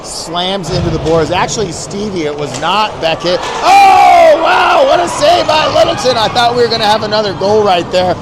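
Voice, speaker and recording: Amateur rink announcer, male, folksy showman, bursts into shouts; noisy arena recording. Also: high voice, moderate pace, few pauses. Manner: excitable, shouts on big plays, folksy showman patter. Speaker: youth hockey play-by-play announcer. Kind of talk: amateur play-by-play commentary. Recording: loud arena crowd and noise. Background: loud crowd and rink noise.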